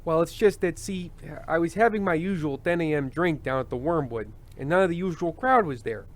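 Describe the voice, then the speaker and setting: Guy doing a nervous weary tv host, kinda high, voice goes all over, old radio drama vibe. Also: lively intonation, close to an everyday voice. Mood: fretful. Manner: fretful, halting. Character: anxious, world-weary male TV show host.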